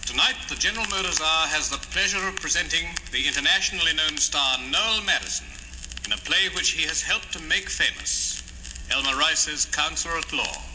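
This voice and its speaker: A man's voice: a little high, moderate pace. A man hosting a 1950s radio drama, giving a formal, stately, scripted introduction. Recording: hissy old radio recording, long hall reverb.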